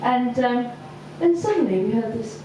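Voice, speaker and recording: A woman doing stand-up, telling a story slowly with many ums and pauses. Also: a little high, expressive, mellow, a little hiss or hum. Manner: hesitant, lots of ums, slow deliberate delivery. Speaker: stand-up comedian. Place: comedy venue with audience laughter. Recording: echoey far-off live room recording.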